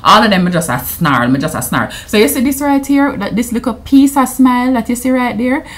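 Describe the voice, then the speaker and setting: A woman's voice: on the high side, animated, a little fast. A woman in her thirties with a Jamaican accent, chatting to camera in a playful vlog and slipping into patois. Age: 30s. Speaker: vlogger. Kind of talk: casual anniversary vlog to camera.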